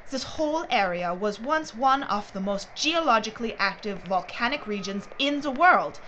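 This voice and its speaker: An actress playing a brisk, secretive mining executive in an audio drama, speaking in a precise, formal way. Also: female voice, a little high, fairly bright tone, low-quality recording. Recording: clear background noise and ambience.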